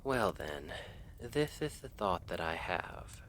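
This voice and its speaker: A man playing an earnest, lovestruck assassin from a noble house in an ASMR roleplay, speaking in measured, formal, hesitant phrases. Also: low-pitched, very animated, fairly bright tone, a little hiss or hum, full character voice. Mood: earnest, cautious.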